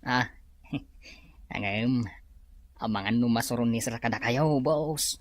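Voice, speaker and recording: A man's voice: mid-range voice, slow pace, close to an everyday voice. A man playing a chatty, curious underling addressing his boss in an Ilocano drama. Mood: curious, deferential. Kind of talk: Ilocano radio-style drama acting. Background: music.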